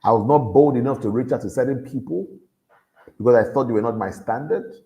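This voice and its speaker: A man with a deep voice, giving an earnest motivational talk to young people and repeating his key lines for emphasis. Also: fairly quick, warm tone, lo-fi sound. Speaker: motivational speaker at a youth event.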